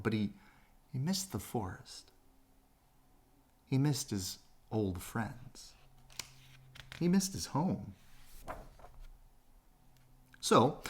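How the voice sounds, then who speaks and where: A male storybook reader with a slightly low voice. His pitch moves expressively over a wide range. He takes a very unhurried pace, drawing phrases out dramatically for young listeners. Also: darkish tone. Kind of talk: expressive bedtime read-aloud narration.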